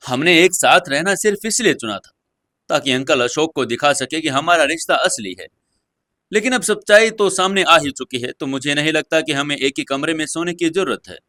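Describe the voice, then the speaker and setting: A man voicing a calm, self-assured young woman in an audio story, speaking softly but decisively and plainly. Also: a little high, moderate pace, voice pushed up. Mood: firm, serious. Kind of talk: Hindi audio story dialogue.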